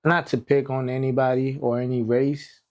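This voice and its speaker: A man from Haiti with a Haitian accent, hosting a podcast in casual chat, animated and joking, with lots of short agreements. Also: mid-range voice, normal speed. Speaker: podcast host.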